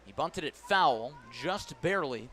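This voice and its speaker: A man doing live baseball play-by-play on the radio, giving measured, detailed calls that swell on key plays. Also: on the high side, lively intonation, a bit bright, soft background music. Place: ballpark broadcast position.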